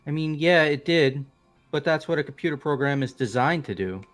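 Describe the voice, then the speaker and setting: Man as a brash, higher-pitched teenage boy. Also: animated, moderate pace, faint music behind, natural voice. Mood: skeptical. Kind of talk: amateur fan voice acting of a game.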